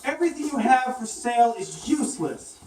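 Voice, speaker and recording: A plucky, kindly mouse hero in a puppet show, played by a woman, speaks up earnestly in a high voice. The recording is echoey and set back from the mic. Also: very animated, brisk, darkish tone. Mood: indignant. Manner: earnest, outspoken. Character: bold, kind-hearted young male mouse adventurer. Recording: echoey, distant mic, faint noise.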